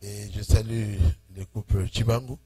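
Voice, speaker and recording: A man pastor greeting a church congregation in French, speaking in short phrases and pausing for his interpreter. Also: on the low side, steady tone. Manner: slow, pausing phrase by phrase for the interpreter, chuckling warmly. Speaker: preaching pastor. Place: church hall.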